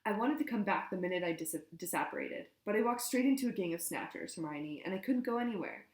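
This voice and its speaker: A woman reading a storybook, voicing a defensive teenage boy who shouts back and talks fast. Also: mid-range voice, lively intonation, echoey room, lightly acted.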